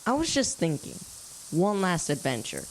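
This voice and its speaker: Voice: medium pitch, wildly swooping pitch, normal speed, slight background noise, poor audio. A young performer playing a protective preteen boy, the scheming leader of a group of friends, in an audio drama. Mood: firm, protective. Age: child. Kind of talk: youth audio drama acting.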